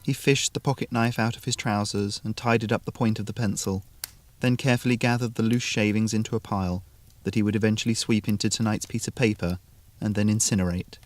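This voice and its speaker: A man's voice: lowish voice, steady tone, a little fast. A man narrating a scripted short story as the storyteller.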